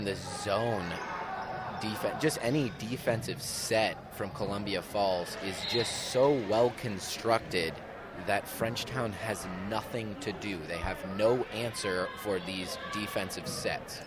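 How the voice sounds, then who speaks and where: A man's voice: lowish voice, measured pace. A young American man offering color commentary during a live basketball broadcast. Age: young adult. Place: indoor high school gym, courtside. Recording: noisy gym ambience. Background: bouncing basketballs, gym noise.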